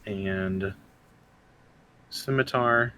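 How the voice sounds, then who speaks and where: A man's voice: fairly low pitch, drawn-out words, bright, forceful tone. A man talking casually as a player in a tabletop role-playing game. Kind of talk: casual chat. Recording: clear background noise.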